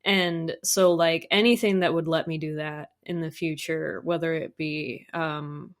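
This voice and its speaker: A woman's voice: sits low, steady tone, a little slow. A woman chatting casually as a podcast guest, with an enthusiastic, informal way of talking. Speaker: guest, audio drama composer. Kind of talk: casual podcast interview chat.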